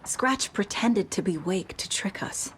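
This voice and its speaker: A woman's voice: mid-range voice, expressive, measured pace, a bit bright, low-quality recording. A woman playing a determined, serious federal agent and worried mother in a video game, with an earnest, controlled delivery. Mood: firm. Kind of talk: video game cutscene acting. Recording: light ambient background noise.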